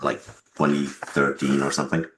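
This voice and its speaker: Very deep-voiced man, measured. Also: completely flat delivery, a bit bright, slight background noise. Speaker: interviewed game developer and writer. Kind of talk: interview answers.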